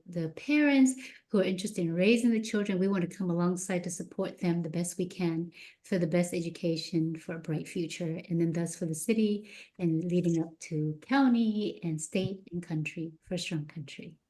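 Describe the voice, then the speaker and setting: A woman school board candidate giving an earnest, halting opening statement with many fillers. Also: lowish voice, dark tone. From outside the US.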